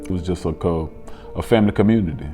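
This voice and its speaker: A man from Georgia, speaking about his youth in a relaxed, frank way. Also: deep voice, quick pace. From Cartersville, Georgia. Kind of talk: personal recovery testimonial. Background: soft music.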